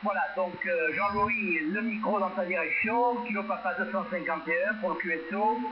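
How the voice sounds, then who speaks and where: A high-voiced man speaks over a heavily noisy radio link. He is an amateur operator making casual contact, courteous and wordy in his phrasing. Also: normal speed. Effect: radio transmission. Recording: very noisy, dull radio transmission.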